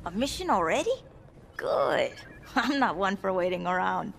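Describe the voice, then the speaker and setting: A woman's voice: mid-range voice, big pitch swings, moderate pace, vocal fry. A woman playing an eager, helpful starfighter mechanic in a video game, casual and chatty. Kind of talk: video game character dialogue. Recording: music behind the voice.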